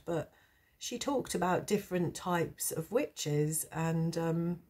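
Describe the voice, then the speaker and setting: Woman, hoarse from a cold, deep soft-edged tone, unhurried, hesitant, reflective, casual vlog chat. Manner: hesitant, reflective, self-deprecating. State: hoarse with a sore throat and a cold. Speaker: tarot channel host. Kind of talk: casual rambling chat to camera.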